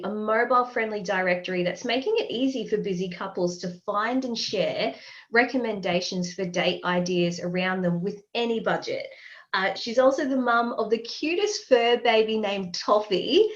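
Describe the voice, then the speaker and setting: Woman podcast host, slightly muffled. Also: mid-range voice. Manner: friendly, chatty, many fillers. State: has a respiratory ailment. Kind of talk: casual interview chat.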